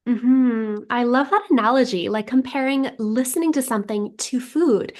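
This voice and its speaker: A woman's voice: on the high side, moderate pace, a little mellow. Enthusiastic woman podcast host. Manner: enthusiastic, reflective, frequent "like" fillers. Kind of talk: podcast interview.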